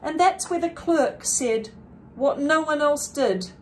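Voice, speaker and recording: Unhurried woman reading a children's storybook aloud. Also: high voice, expressive, a little hiss or hum. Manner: deliberate, dramatic emphasis with brief explanatory asides. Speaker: children's book reader. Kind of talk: expressive read-aloud of a rhyming picture book.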